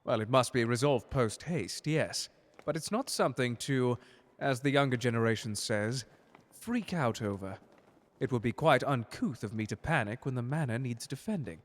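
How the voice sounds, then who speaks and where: A man playing a suave, unflappable butler in an audio roleplay drama, speaking in formal, genteel, precise language with quiet menace. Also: mid-range voice, lively intonation, normal speed, natural voice. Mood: composed, urgent.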